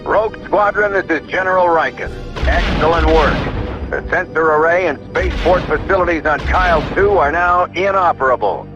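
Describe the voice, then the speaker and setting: A man playing a steady, authoritative rebel general giving measured mission briefings in a video game. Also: fairly high pitch, close to an everyday voice. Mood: approving. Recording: dull lo-fi game audio under music.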